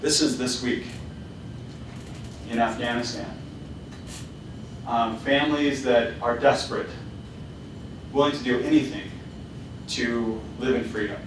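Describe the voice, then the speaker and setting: A man's voice: medium pitch, a little slow, pauses often, background noise. Founder talk: a man with a mid-range voice speaking casually, heavy echo, recorded from far away. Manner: casual, with many fillers and restarts. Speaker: company founder giving a talk. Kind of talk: presentation to students. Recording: very echoey hall, voice from afar.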